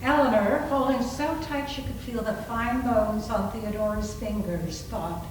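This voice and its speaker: Woman narrator for staged ghost story: suspenseful, drawn-out delivery, far from mic in a reverberant room. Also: a little high. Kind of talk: dramatic scripted narration of a horror story. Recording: very echoey room, distant, faint noise.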